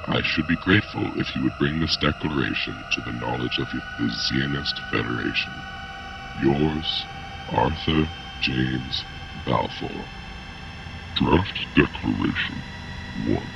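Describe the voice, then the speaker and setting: Man, extremely low voice, very creaky, formal document reading, measured, noisy ambient background. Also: dull audio.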